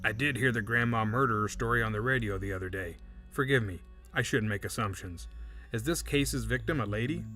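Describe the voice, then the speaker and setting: A man's voice: lowish voice, fairly quick, bright, forceful tone, soft background music. A man playing a composed, coldly polite male witness in an audio drama, speaking formally and unruffled. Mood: smoothly apologetic.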